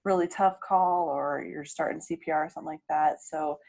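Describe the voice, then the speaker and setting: A woman's voice: low voice, steady tone, a little fast, gravelly, darkish tone. A woman dispatcher explaining the job and workstation in a conversational, matter-of-fact way. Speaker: emergency dispatcher.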